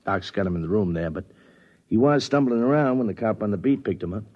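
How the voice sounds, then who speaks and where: A male actor playing a matter-of-fact, unhurried city police sergeant in a 1950s radio drama. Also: fairly low pitch, expressive. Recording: muffled vintage radio recording.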